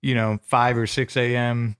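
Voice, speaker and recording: A man's voice: medium pitch, flat delivery, a little slow, a bit bright, smooth. A man co-hosting a podcast, chatting in an easygoing, agreeable way. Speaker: podcast co-host. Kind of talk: casual chat.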